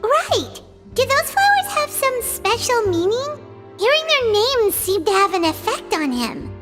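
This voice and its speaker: A woman voicing a tiny, excitable floating fairy companion who chatters in a childlike, bubbly way and calls herself by name. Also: very high voice, unhurried, faint music behind, full character voice. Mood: inquisitive. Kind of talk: game character dialogue.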